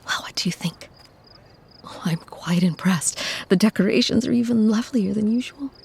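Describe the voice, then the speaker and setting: A woman playing a protective, possessive female vampire who speaks softly and tenderly to her mortal lover in an audio roleplay. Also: medium pitch, normal speed. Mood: impressed, polite. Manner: soft, soothing endearments, breathy, genteel. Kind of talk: scripted ASMR audio roleplay.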